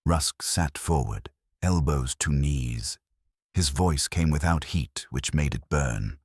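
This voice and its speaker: A man reading a scripted first-person detective story as an audiobook narrator, with a husky voice. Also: extremely low pitch, slow, no room sound, studio quality.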